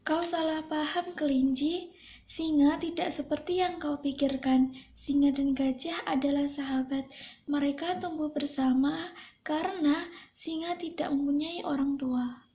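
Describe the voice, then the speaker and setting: A woman's voice: high voice, steady tone, a little slow, dark tone. A young woman voicing a firm, patient cow who explains things in a puppet-show fable. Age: young adult. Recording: dull, muffled sound.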